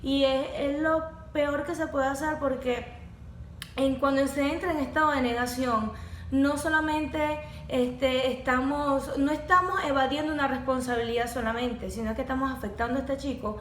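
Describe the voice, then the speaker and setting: A young woman with a high voice explains neurodevelopmental disorders in measured, clinical terms. She speaks as a facilitator on a podcast. The sound is echoey, with faint noise in the room. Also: steady tone, a bit bright. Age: young adult. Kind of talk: podcast conversation.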